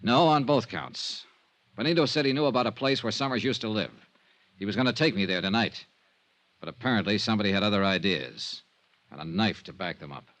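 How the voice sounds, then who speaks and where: An actor playing a tough, sardonic American insurance investigator in a vintage radio drama, talking in breezy slang. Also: male voice, on the low side, brisk, a bit bright. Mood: wry, recounting. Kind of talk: 1950s radio drama acting. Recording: dull vintage radio recording.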